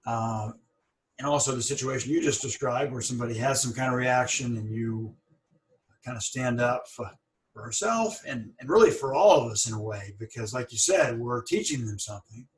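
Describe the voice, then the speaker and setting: A man's voice: mid-range voice, animated, moderate pace. A man hosting an interview podcast, who stutters and restarts words as he talks in a thoughtful, encouraging way. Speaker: podcast host. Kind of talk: conversational interview. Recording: roomy, a bit far from the mic.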